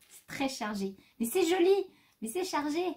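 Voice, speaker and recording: A woman's voice: high voice, big pitch swings, normal speed, darkish tone. A woman vlogger chatting casually as she shows off her new craft supplies at home, rambling a little and breaking off to talk to her pet. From France. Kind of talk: casual show-and-tell haul. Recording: faint background noise.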